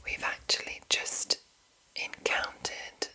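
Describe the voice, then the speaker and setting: A woman whispering in a breathy, unvoiced ASMR style, reading deliberately with pauses between short phrases. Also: sharp tone, rough recording. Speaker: ASMR host. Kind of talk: whispered ASMR reading of fantasy game rules.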